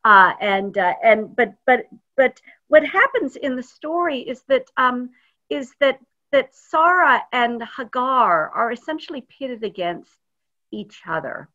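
A woman's voice: on the high side, lively intonation, unhurried, bright, forceful tone, muffled recording. A woman rabbi giving a thoughtful, informal teaching talk over a video call. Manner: thoughtful, searching for words. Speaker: rabbi, guest speaker.

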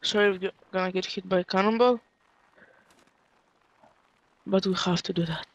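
A man leading a group in online game voice chat, barking quick commands. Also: high-pitched, no room sound, poor audio. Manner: barks quick commands, excitable. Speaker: line battle officer giving orders.

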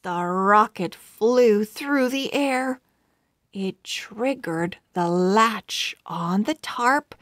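A woman narrating a children's storybook aloud, expressive and carefully articulated. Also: mid-range voice, very slow, no room sound, clean studio sound. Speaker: children's book read-aloud host.

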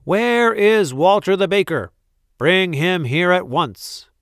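A man's voice: a little high, fairly even intonation, extremely slow, clean tone, no echo, studio quality, voice pushed up. A man voicing an imperious, hot-tempered Duke from a storybook, with an emphatic, commanding manner. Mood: outraged, roaring. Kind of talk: storybook read-aloud character voice.

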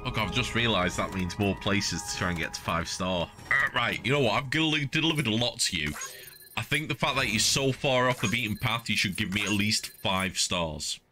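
A man's voice: fairly low pitch, moderate pace, pushed and bright. A middle-aged man giving casual, playful, mock-indignant commentary as he livestreams a video game. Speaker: livestreaming gamer. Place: small indoor streaming setup, wearing headset. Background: game music and vehicle sounds.

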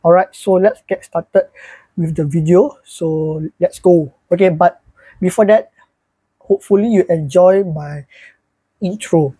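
A man's voice: high voice, fairly even intonation, a little slow, warm tone, slightly rough, no echo, poor audio. A young man of twenty-one, chatting loosely to camera in a small bedroom and often repeating "so yeah" and "alright, guys". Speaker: YouTuber. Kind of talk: casual vlog talk to camera. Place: small bedroom with a fan, indoors, seated.

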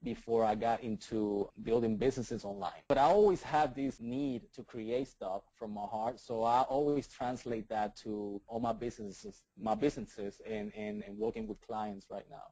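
An eager man in his thirties with a Puerto Rican accent, talking as an interview guest. His voice is mellow and relaxed, recorded dry and close. He often stumbles over repeated words and adds "right?" to his sentences. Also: on the low side, normal speed, few pauses, no echo, poor audio. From Puerto Rico. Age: 30s. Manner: enthusiastic, repeats words, many "right?" tags. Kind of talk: casual interview talk.

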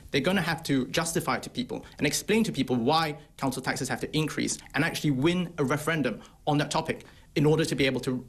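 Fast-talking husky young man. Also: medium pitch. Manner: brisk, assertive talking points. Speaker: think-tank researcher. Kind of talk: remote interview commentary. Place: office room. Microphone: video call.

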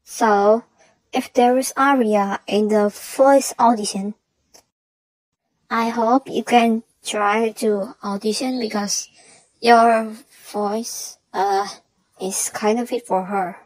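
Slow-talking woman with an altered voice. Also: a little high, fairly even intonation, darkish tone, dry recording, rough recording. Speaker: channel creator announcing audition winners. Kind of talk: semi-scripted announcement, reading prepared notes.

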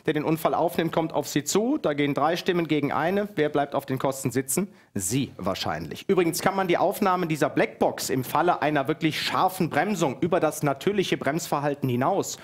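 A man in his forties hosting a TV shopping show in a studio, talking straight to the viewers in a persuasive, husky voice on a headset mic. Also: on the high side, expressive, a little fast, few pauses. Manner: persuasive, addresses viewers directly. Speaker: TV shopping host. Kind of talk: teleshopping presentation. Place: TV studio set.

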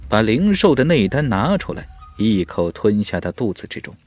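A man's voice: fairly low pitch, very animated, normal speed, a little mellow. A man narrating a fantasy novel as an audiobook in an emphatic, dramatic storytelling manner.

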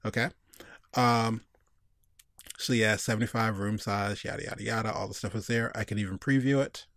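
A man's voice: on the low side, steady tone, moderate pace, fairly bright tone, no room sound, clean studio sound. A man giving a casual screencast tutorial, informally talking through each step and thinking aloud. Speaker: tutorial instructor.

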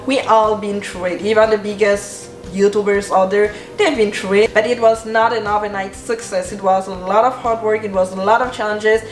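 A woman's voice: medium pitch, reverberant. A young woman, a style coach giving an earnest, encouraging vlog talk to camera in a bedroom. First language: French. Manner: earnest, encouraging, talks with her hands. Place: bedroom with clothes rack and shelves, indoors. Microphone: camera at medium distance.

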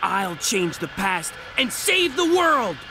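A man's voice: sits high, big pitch swings, takes it slowly, noisy background, full character voice. A man voicing an earnest, hot-headed young hedgehog in a video game cutscene, youthful, intense and dramatic. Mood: desperate, defiant.

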